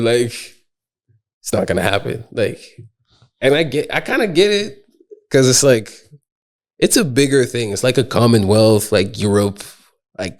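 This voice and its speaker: A man, a guest rapper from Quebec, talking in a loose, slangy way with frequent swearing and laughter. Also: medium pitch. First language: Haitian Creole. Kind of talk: casual podcast conversation.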